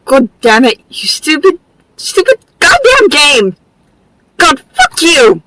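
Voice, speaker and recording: A woman's voice: high-pitched, huge pitch swings, slow, sharp tone, full character voice, poor audio. A woman playing a hot-tempered gamer in an audio drama, ranting and cursing indignantly. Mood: furious. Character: foul-mouthed, hot-tempered video game player, easily enraged.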